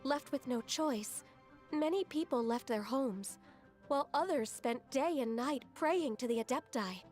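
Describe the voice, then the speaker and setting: A woman voicing a gentle, polite young female aide who speaks softly and courteously. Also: sits high, unhurried, faint music behind. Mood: calm. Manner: soft-spoken and courteous. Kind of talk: video game voice acting.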